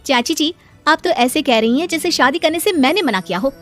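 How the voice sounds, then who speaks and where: High-pitched young woman, defiant cartoon daughter, acted by a woman. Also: animated, fairly quick, a bit bright, faint music behind. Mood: indignant. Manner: indignant, protesting. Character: unmarried schoolteacher daughter near thirty, defiant yet polite. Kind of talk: Hindi moral-story cartoon dubbing.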